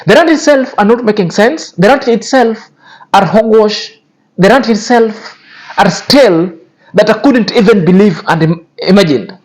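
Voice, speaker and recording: A man's voice: high voice, expressive, normal speed. A man hosting a commentary channel, talking in a cutting, repetitive, lecturing way. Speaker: YouTube commentary host. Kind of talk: scornful commentary on influencer drama.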